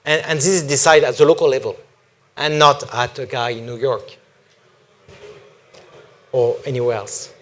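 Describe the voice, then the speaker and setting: A man from France with a strong French accent, speaking deliberately as a panelist in a forum discussion. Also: mid-range voice, fairly even intonation, a bit bright. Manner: deliberate, emphatic, speaks slowly.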